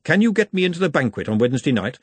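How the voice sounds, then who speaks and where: Man voicing a self-possessed woman cook, raised pitch. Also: very animated, rapid-fire, husky, no room sound. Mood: direct. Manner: level, pointed.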